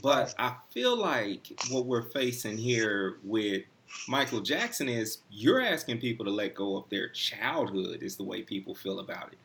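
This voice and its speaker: A man's voice: fairly low pitch, very animated, measured pace, creaky, fairly bright tone, a little hiss or hum. A man hosting a casual talk show, steering the topics in laid-back slang. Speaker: host. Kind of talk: casual podcast chat.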